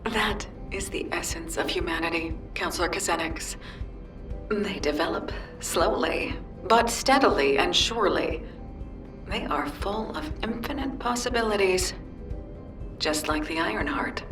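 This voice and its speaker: Calm earnest scientist in a game, formal, echoey, music going behind. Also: female voice, on the low side, a little slow. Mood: calm conviction. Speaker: video game voice actor. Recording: roomy, distant, loud music behind.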